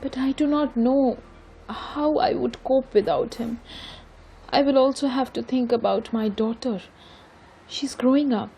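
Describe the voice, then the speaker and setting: A woman's voice: high-pitched, moderate pace, gravelly, mellow, a little hiss or hum. A woman with an Indian Bengali accent playing a weary, caring mother confiding in an old friend in an audio drama, switching between English and Bengali. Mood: weary, troubled. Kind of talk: bilingual audio drama acting.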